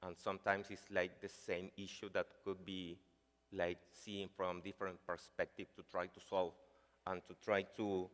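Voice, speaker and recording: Deep-voiced Chilean man giving a conference talk. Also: little pitch movement, normal speed, a bit bright. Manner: hesitant, many fillers like "like" and "uh". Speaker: conference speaker. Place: large conference hall. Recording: reverberant hall sound.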